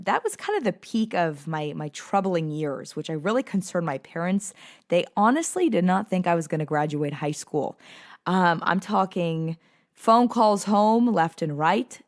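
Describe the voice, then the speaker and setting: A woman in her thirties from South Florida hosts her own podcast as a life purpose coach. She talks in an upbeat, enthusiastic and confiding way. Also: on the low side. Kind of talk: personal podcast monologue.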